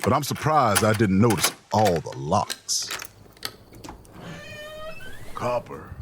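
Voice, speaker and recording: An actor playing a weary, watchful drifter who narrates a horror audio drama in a folksy, subdued, husky voice. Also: male voice, fairly low pitch, big pitch swings, slow pace, noisy background. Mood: tense, weary. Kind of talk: audio drama first-person narration and dialogue.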